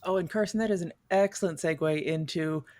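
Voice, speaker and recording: Lively woman explaining, dry close sound. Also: fairly low pitch, a little fast, no room sound. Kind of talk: casual podcast chat.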